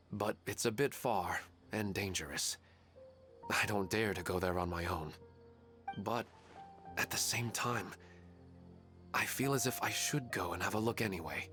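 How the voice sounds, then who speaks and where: A man's voice: lowish voice, close to an everyday voice. A man playing a grieving widowed father in a video game, polite, reflective and hesitant.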